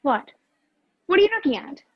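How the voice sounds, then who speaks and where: A woman acting a feisty, sharp-tongued young woman who reluctantly helps a fugitive, in a radio play over a video call. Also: high voice, very animated, moderate pace, dry recording, a faint crowd. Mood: puzzled. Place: video call, dry room. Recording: muffled video-call audio.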